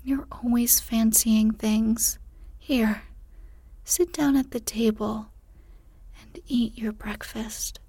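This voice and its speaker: A woman voicing the grumpy, fearful wife of a giant, speaking in a glum, breathy whisper. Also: a little high, fairly even intonation, slow, mellow, no room sound, heavily acted. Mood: dismissive. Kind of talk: whispered storybook reading.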